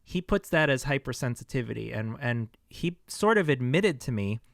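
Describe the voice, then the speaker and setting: A man with a fatigued, scratchy throat talks in a hesitant, rambling vlog manner, full of restarts. The sound is close and dry with no room echo. His pitch sits in the middle range. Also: lively intonation, moderate pace, vocal fry, fairly bright tone. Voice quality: scratchy, tired voice. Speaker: vlogger sharing health experience. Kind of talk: casual personal vlog. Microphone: close microphone.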